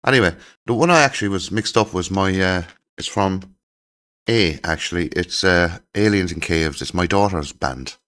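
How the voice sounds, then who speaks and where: A middle-aged man, croaky from a cold, chatting casually and with enthusiasm as he shows his CD collection. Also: sits low, normal speed, fairly bright tone. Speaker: channel host showing his CD collection. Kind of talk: casual chat to camera.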